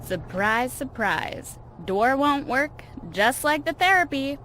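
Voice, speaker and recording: A woman's voice: sits high, animated, slow, pushed and bright, a little hiss or hum, exaggerated voice, low-quality recording. An actress playing a reluctant, sardonic female therapy patient in a video game cutscene, speaking casually.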